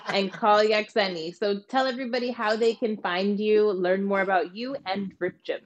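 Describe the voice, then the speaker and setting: A woman's voice: medium pitch, steady tone, normal speed. A woman hosting an interview, asking questions in an upbeat, encouraging manner. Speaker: interviewer, host. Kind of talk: casual interview.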